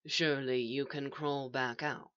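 Lady doing a creepy calm guy from a story, real quiet n polite, super slow, echoey. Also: very deep voice, smooth, voice pushed down, full character voice. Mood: calm, coaxing. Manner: soft, slow, even and reasonable. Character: calm, eerie red-eyed male stranger, polite and patient. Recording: echoey, slightly dull home recording.

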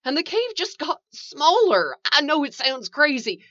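A woman's voice: medium pitch, sing-song, moderate pace, pushed and bright. A woman voicing a frightened, trapped male caver in an audio story, with a shaky, strained, pleading delivery. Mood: panicked. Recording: echoey, slightly dull home recording.

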